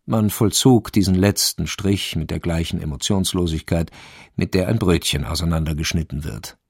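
A man narrating a radio crime drama in a measured, sober, literary way. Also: low voice, creaky, breathy edge, dry recording, studio quality, full character voice. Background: music.

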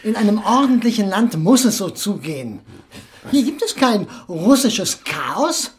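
A smugly besotted émigré husband in a radio drama. His voice is high for a man and swings widely in pitch. He talks effusively and emphatically, like someone who loves to hold forth. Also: sing-song, a little slow. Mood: opinionated, exuberant. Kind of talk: German radio drama acting.

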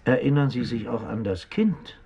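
A man's voice: mid-range voice, animated, moderate pace, gravelly, breathy edge, muffled recording. An actor playing an urgent but courteous male police captain in a 1970s German radio crime drama. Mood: urgent, insistent. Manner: polite but insistent questioning.

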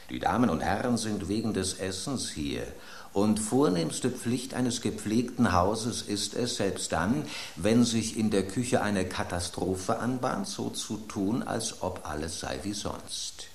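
A man's voice: lowish voice, reverberant. An actor voicing an aging male loaf of bread, an urbane, ironic epicure, in a radio play.

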